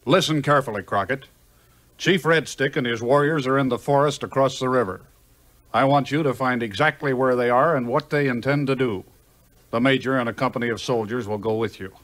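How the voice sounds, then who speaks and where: A man's voice: mid-range voice, a little fast, fairly bright tone, natural voice, poor audio. A man plays a stern general in a 1950s adventure record. His mid-range voice is authoritative and commanding, made for giving orders. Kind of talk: 1950s children's audio play acting.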